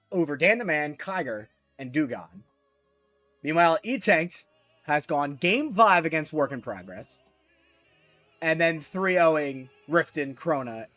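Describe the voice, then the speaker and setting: A man giving solo live commentary on a fighting game tournament, excitable and jumping to high shouts at big moments, his voice a little worn, from a small closet. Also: no room sound. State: losing his voice. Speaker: esports commentator.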